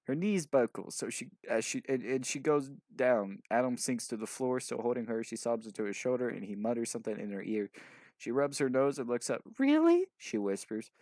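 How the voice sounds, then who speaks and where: A man's voice: medium pitch, brisk, darkish tone, dry recording. A man reading a story aloud casually, stumbling over sentences as he narrates. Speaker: fanfiction reader.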